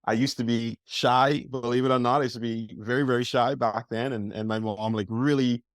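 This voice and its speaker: A man's voice: mid-range voice, steady tone, fairly quick, no echo. Young man, podcast guest, eager and rambling, mid-range voice, dry close mic. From Bahamas. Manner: eager, rambling, heavy use of like and you know. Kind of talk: casual interview storytelling.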